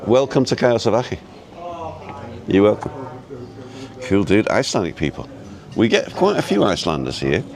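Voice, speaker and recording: A man with an easygoing, chatty and halting way of talking, casually rambling as he hosts a live vlog. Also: on the low side, huge pitch swings, creaky, a crowd in the background. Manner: easygoing, chatty, halting as he reads and answers viewer comments. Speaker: live-streaming vlogger and host. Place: outdoors at a restaurant overlooking the road and ocean.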